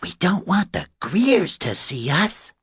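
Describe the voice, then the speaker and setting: A man's voice: high voice, sing-song, slow pace, a bit bright, slightly rough, no echo, clean studio sound, pitched above the performer's own voice, exaggerated voice. A man voicing a sweet, insistent little girl with a childlike, pleading manner in an audiobook. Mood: hushed urgency.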